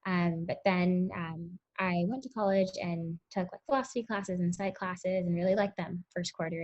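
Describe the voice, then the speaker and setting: A woman's voice: lowish voice, a little mellow. A woman, answering interview questions in a chatty way full of ums and likes. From Connecticut, US. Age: 20s. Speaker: interview guest, tech startup founder. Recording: muffled lo-fi audio.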